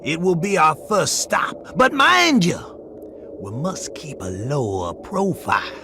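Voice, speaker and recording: A man's voice: on the high side, big pitch swings, slow pace, background noise. A man voicing a cunning, theatrical old warrior in a video game, speaking in grandiose sing-song over music. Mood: grandiose narrating. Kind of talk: dramatic video game cutscene acting.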